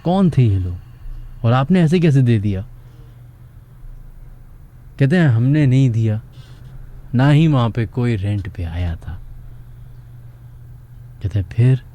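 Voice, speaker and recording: Very dark, mellow Pakistani male storyteller. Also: mid-range voice, expressive, slow, pauses often. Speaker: host of a radio horror story show. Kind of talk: dramatic horror storytelling for radio. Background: music.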